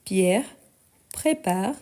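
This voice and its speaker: A woman leads a live French conjugation lesson, reading each practice sentence aloud at a very slow, deliberate pace. Her voice sits in the middle of the range with a mellow, warm tone, and she explains each rule patiently. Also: medium pitch, animated. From Portugal. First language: Portuguese. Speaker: French teacher.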